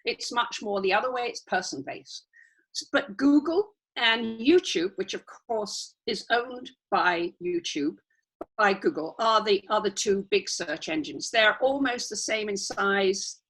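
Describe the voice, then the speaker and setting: A woman guest trainer with a croaky voice, explaining in a chatty way with asides and restarts over a video call. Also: medium pitch, animated, a little slow, a bit bright, rough recording. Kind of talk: casual live training.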